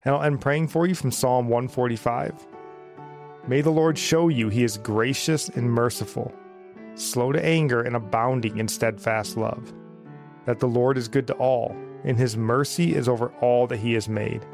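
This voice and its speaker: Male devotional reader, medium pitch, noticeable fry, scripted blessing over light guitar. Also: steady tone, moderate pace, darkish tone. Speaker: devotional podcast host.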